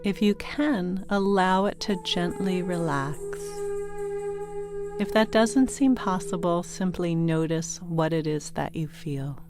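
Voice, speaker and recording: A woman narrating a guided sleep meditation in a gentle, soothing manner, giving unhurried instructions with pauses between phrases. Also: fairly low pitch. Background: meditation music with singing bowl tones.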